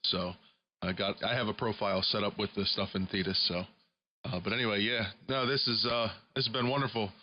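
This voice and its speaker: Low-voiced man on dull ham radio. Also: fairly even intonation, fairly quick. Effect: single-sideband radio transmission with processed audio. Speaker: amateur radio operator. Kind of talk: casual ham radio chat. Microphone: studio broadcast microphone. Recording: dull, band-limited shortwave radio audio.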